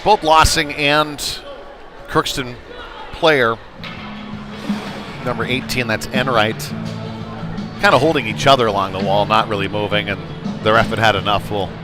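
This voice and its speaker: A man's voice: on the low side, steady tone, unhurried, long pauses, music in the background. A man doing casual, conversational live play-by-play commentary of a youth hockey game from a rink, on a headset. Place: chilly ice rink.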